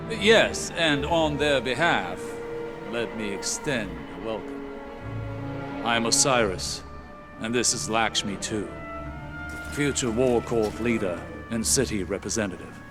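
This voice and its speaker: A man playing a dignified, guarded statesman in a video game cutscene, with a measured and formal delivery. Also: on the low side, animated. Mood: courteous. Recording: voice over game music.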